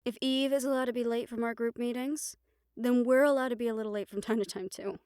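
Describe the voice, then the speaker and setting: A woman playing a gentle, caring young banshee in an intimate audio roleplay, speaking softly and soothingly, with some phrases trailing into a whisper. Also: on the high side, fairly even intonation, fast talker, clean tone, no room sound, clean studio sound, lightly acted. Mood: warm, inviting. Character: gentle young female banshee from Puerto Rico, caring crush. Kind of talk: intimate audio roleplay acting, one-sided conversation.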